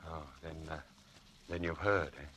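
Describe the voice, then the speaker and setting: An actor playing a charming, evasive young husband with smooth, persuasive patter, in 1940s radio drama style. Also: male voice, rumbling low, steady tone, normal speed, fairly bright tone. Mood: subdued, sorry. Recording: noisy old 1940s radio broadcast.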